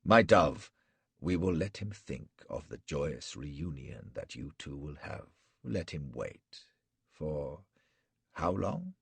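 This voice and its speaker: A man doing a cold, cruel warlord in a storybook reading. The voice is very deep and gravelly, husky at the edges, and it unspools its threats slowly and gloatingly. The sound is dry and close. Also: very animated, no echo, exaggerated voice. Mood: menacing. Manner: slow, gloating, measured threats. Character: menacing cruel male warlord, cold and mocking. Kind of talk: audiobook character reading.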